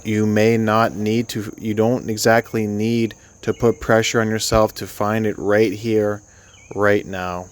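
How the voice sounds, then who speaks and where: A man leading a guided sleep meditation, speaking gently and soothingly in an unscripted, halting way with long pauses. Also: fairly low pitch, little pitch movement, clean tone.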